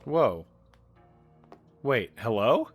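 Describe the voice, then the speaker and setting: A let's player reacts casually at a slow pace in a medium-pitched voice. The talk is amused musing aloud, with faint music behind. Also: sing-song, slightly rough.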